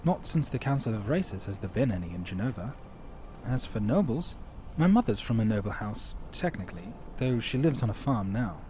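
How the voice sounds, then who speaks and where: A man playing a courteous, watchful young knight commander in an audio drama, speaking formally and earnestly in measured phrases. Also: fairly low pitch, very animated, mellow, a little hiss or hum. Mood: matter-of-fact.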